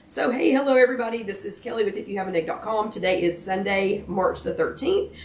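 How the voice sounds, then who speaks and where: An American woman with a hoarse, failing voice, chatting casually and apologetically as she hosts a livestream. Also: medium pitch, fast, darkish tone, a little hiss or hum. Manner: chatty, apologetic, self-deprecating. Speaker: host of a live weight-loss chat. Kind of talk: casual livestream chat greeting viewers. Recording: roomy echo, distant microphone.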